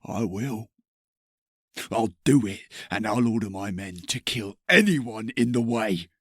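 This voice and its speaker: A man playing a commanding, threatening nobleman in an audiobook drama, intimidating and deliberate, with a husky voice. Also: lowish voice, expressive, a bit bright, dry recording, clean studio sound, full character voice. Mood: quietly menacing. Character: menacing nobleman, commanding, threatening, quick to frustration.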